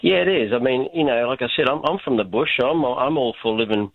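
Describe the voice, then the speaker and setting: A man's voice: mid-range voice, fairly quick, slightly rough, no room sound. A man from Australia with a Queensland accent, calling in to a radio show in a laid-back, chuckling way and repeating his points. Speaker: caller. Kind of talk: phone-in chat. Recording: tinny phone line.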